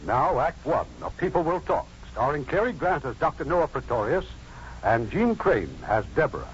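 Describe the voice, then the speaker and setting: A man's voice: a little high, big pitch swings, moderate pace, background noise. A man hosting a 1950s radio theater, reading scripted introductions and narration in a formal, declamatory showman's manner. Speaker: producer and host introducing a radio play. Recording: muffled 1950s radio broadcast recording.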